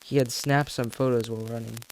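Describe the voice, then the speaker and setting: A man's voice: medium pitch, fairly even intonation, brisk, slight background noise, low-quality recording. A man reading a horror story aloud in a casual, stumbling way, breaking off to react. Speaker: video creator reacting and narrating.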